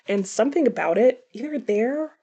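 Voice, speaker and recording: A woman talking casually as a book reviewer, conversational, with animated asides and self-corrections. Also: fairly high pitch, normal speed, breathy edge, highs cut off. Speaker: book reviewer presenting a book haul. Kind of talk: casual chat to camera.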